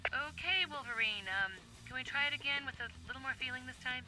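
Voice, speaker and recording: A woman's voice: high-pitched, fast talker, pushed and bright, low-quality recording. A woman playing a patient but harried voice session director in a video game, polite and coaxing. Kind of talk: video game character acting.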